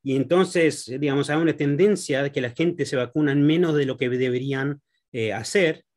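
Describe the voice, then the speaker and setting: A man who grew up in Argentina, a guest economist in an interview, speaking haltingly with many fillers and restarts. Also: fairly high pitch, a little mellow. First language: Spanish.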